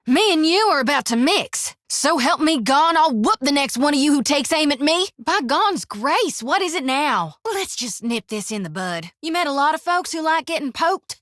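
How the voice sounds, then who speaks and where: A woman's voice: high voice, a bit bright, no room sound. An actress playing a cheerful, devout young female priest who talks in a folksy drawl full of old-fashioned country slang. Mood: feisty. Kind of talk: video game voice lines. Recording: dry studio booth.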